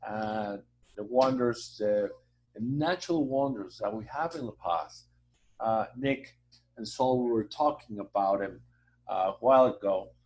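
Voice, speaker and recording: A man's voice: on the low side, rough recording. Mexican man talking up his hometown. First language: Spanish. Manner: halting, many fillers and restarts, warm promotional tone. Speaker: hotel association president, guest. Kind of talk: conversational interview.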